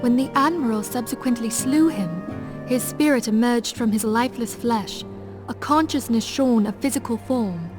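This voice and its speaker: An actress as a learned fantasy noblewoman, earnest and resolute. Her voice sits fairly high, and she speaks in measured, archaic phrases. Music plays clearly underneath, as in a game cutscene. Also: natural voice. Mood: grave, reflective. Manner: formal, measured, archaic diction. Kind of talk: video game cutscene acting. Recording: orchestral music behind the voice. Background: background music.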